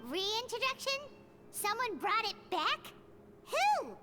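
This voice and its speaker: A woman's voice: extremely high pitch, big pitch swings, takes it slowly, pushed and bright, faint music behind, full character voice. A woman voicing a small, chirpy, childlike fairy companion in a video game, nosy and drawing out her words. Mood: curious, cheeky.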